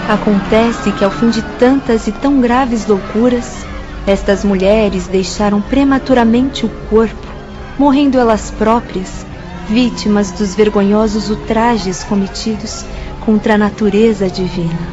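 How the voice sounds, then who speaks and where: An actress playing a grave, moralizing nun who guides students, solemn and didactic in a radio drama style with a Brazilian accent. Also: female voice, mid-range voice, highs cut off, over music, close to an everyday voice. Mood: stern, pitying. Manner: solemn, didactic, deliberate emphasis. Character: grave, moralizing nun guiding spirit students through asylum.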